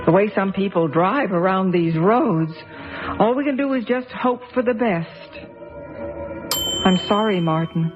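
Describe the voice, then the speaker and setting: A woman playing a gentle, worried mother in a radio drama, soothing and gently reproving. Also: medium pitch, a little slow, natural voice. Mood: consoling. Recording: muffled audio with faint music.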